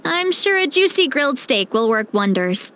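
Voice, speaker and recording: An actress playing an ancient but youthful-sounding granny in a video game, tipsy, casual and self-deprecating, with a teasing drawl. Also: female voice, sits high, sing-song, moderate pace, fairly bright tone. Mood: breezy, generous. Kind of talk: video game character acting. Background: game music.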